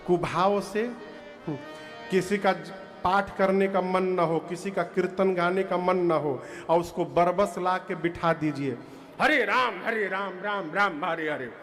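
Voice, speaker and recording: A man with a Bhojpuri accent giving a devotional discourse, moving between folksy talk and slow sung bhajan lines over soft music. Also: high-pitched. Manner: alternates speech and slow devotional singing, playful folksy asides. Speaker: kathavachak preacher.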